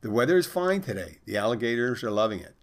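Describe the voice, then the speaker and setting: A man's voice: medium pitch, sing-song, fast talker, close to an everyday voice. A man voicing a naive fourteen-year-old Polish expat boy, reading out stilted, overly formal text messages. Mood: earnest deadpan.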